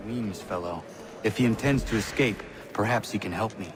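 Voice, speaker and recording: An actor playing a stern, earnest young warrior in a video game, speaking formally and tersely. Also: male voice, on the low side, moderate pace, a crowd in the background, close to an everyday voice. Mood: determined. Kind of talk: video game cutscene acting.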